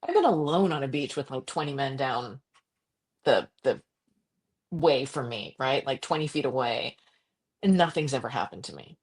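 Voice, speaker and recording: A middle-aged woman from Croatia, a journalist guest talking passionately in an interview, with frequent restarts and quick laughs. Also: husky. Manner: animated, impassioned, jumps to high pitch for quoted voices. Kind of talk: conversational interview.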